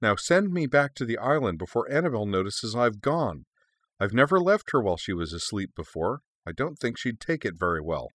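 A man's voice: lowish voice, big pitch swings, normal speed, fairly bright tone, no echo, clean studio sound, voice pushed up. A man voicing a sassy young female dragon in a story, with a sarcastic, snappy, defiant manner. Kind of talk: expressive storybook character reading.

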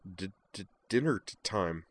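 A man voicing a shy teenage girl student with a heavy stutter who speaks softly and hesitantly. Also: low-pitched, expressive, unhurried. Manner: soft, hesitant.

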